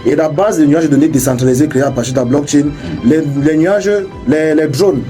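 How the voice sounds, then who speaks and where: A young man, an English speaker by birth, guesting on a radio interview and talking quickly with many halting restarts. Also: on the high side, dark tone, faint music behind. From Cameroon. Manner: fast, halting talk with many restarts and repeated words. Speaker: radio guest, crypto entrepreneur. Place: radio studio.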